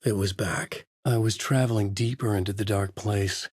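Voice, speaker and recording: A haunted male horror novelist in a video game. His voice is low and husky, recorded very dry and close. He delivers brooding noir lines in grave, literary fragments, tormented and desperate. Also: steady tone, normal speed, darkish tone, no room sound.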